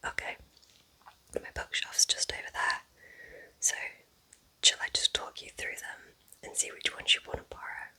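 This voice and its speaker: Casting: woman playing a kind, affectionate cottage witch for ASMR roleplay, soothing and chatty, in a breathy, very bright, sibilant whisper. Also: normal speed, pauses often, poor audio. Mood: gentle, welcoming. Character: kind, cosy forest witch welcoming a visiting relative.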